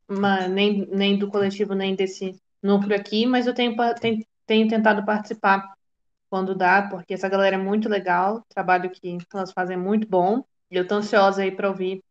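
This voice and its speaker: A young woman, an economics graduate, introducing herself on a video call, upbeat with frequent restarts. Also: medium pitch. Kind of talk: casual self-introduction. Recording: slightly dull call audio.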